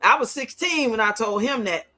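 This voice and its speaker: Bright-voiced, high-pitched outspoken older woman. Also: lively intonation, normal speed. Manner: blunt, outspoken, colloquial. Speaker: livestream host. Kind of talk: casual livestream chat with viewers.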